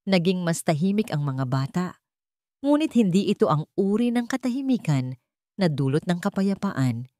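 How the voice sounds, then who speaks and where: A woman with a slightly low voice narrates a romantic story. Her delivery is scripted and dramatic, and the recording is very dry with no room sound. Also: a little mellow, dry recording.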